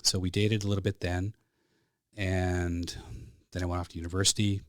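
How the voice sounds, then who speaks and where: A man's voice: low-pitched, flat delivery, moderate pace, no room sound. A plain-spoken man in his fifties from Alberta with a Canadian accent, chatting casually and telling stories as a podcast guest in a studio. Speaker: podcast guest, flight service specialist. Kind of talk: casual conversational storytelling.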